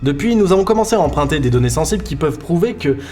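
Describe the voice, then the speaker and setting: A man's voice: a little high, expressive, very fast, a little mellow, soft background music, lightly acted. A man playing a cocky, easygoing young member of a thief crew in a French audio drama, with a casual manner. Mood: earnest. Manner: casual, boastful.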